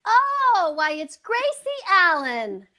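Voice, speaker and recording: A woman's voice: very high voice, big pitch swings, takes it slowly, bright, forceful tone, full character voice. A woman playing a wisecracking, sardonic comedienne in the style of 1940s radio comedy. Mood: bright, amused.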